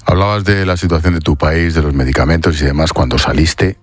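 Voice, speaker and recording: A man with a low voice and an accent from Spain presents a broadcast news programme. He moves between scripted narration and interview questions, with faint music behind him. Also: steady tone, a little fast.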